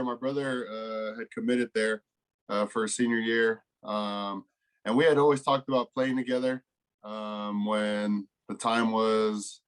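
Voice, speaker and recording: American male athlete, twenties, average pitch, casual interview delivery with an easygoing, pause-filled manner. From California, United States. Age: 20s. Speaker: college football player, interview guest.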